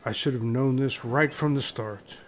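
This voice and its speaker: A man's voice: mid-range voice, moderate pace, slight background noise, lightly acted. A man playing an exasperated, resigned castaway in a comedy radio play, speaking bluntly and casually.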